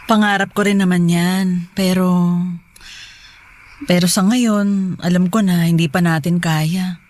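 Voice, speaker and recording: A woman playing a gentle, supportive young mother in a Filipino radio drama, with a hesitant manner. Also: on the low side, fairly even intonation, slow pace, long pauses. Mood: reassuring.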